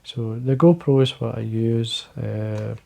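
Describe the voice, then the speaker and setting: Quiet, gravelly Scottish man, rambling. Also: mid-range voice, fairly even intonation, takes it slowly. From Scotland. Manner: quiet, rambling, many fillers and restarts. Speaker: fly-fishing video host. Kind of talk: casual talk to camera. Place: indoors, fly-tying desk.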